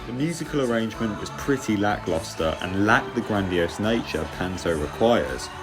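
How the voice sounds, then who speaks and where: A British man with a low voice delivers a scripted review. He is measured and articulate, stating his opinions plainly while music plays clearly behind him. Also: expressive, low-quality recording. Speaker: theatre reviewer and host. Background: music under the voice.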